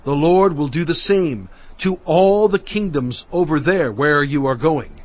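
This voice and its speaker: A man as Moses, earnest and measured. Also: a little high, dull audio, lightly acted. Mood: solemn. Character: Moses, an aged leader recounting conquests. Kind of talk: dramatised scripture reading.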